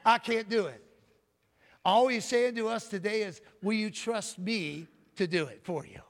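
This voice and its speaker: A man preaching a sermon with a loud voice, often calling out "Amen?" and swinging from booming to soft. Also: a little slow. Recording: long hall reverb.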